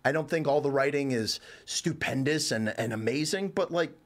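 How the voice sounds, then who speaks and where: A man's voice: on the high side, expressive, moderate pace. A man giving a casual, conversational review as a show's reviewer, rambling informally. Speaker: reviewer on a show.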